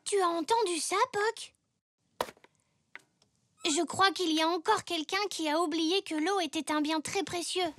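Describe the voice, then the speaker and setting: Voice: very high voice, unhurried, pauses often, a bit bright, a little hiss or hum. A performer voicing an eager, earnest little zebra child in a French cartoon, with an enthusiastic, childlike delivery. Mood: curious, eager.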